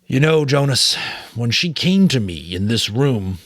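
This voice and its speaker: A man voicing a weary, wise old male mentor from an audiobook novel, gentle and measured. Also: medium pitch, big pitch swings, dry recording, studio quality, pitched above the performer's own voice. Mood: wistful.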